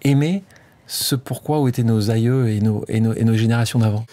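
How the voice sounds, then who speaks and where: A man hosting a podcast, asking long, halting questions that search for words. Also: medium pitch, mellow, dry recording. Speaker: podcast host. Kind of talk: casual interview talk.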